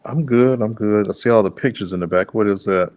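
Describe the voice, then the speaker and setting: Man, podcast host, lowish voice, relaxed, joking, casual questions. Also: fairly quick.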